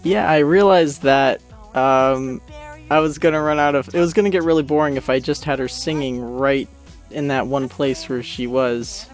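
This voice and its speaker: A man giving a casual, rambling and self-deprecating commentary on an animated series he made. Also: mid-range voice, moderate pace, faint music behind. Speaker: creator of an animated parody series, commentary host. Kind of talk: casual commentary track chat.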